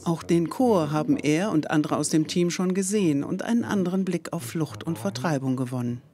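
A woman reading a scripted TV news report in a measured, even voice-over. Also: low voice.

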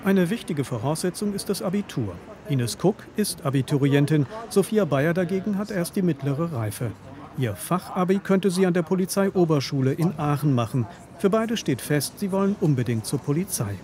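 A male reporter reading a scripted news voice-over in an even, measured way. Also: on the high side.

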